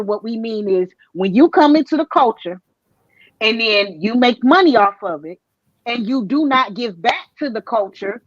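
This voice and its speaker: A woman's voice: a little high, no echo, muffled recording. A woman arguing her point in a casual panel chat, emphatic and slangy, often repeating herself.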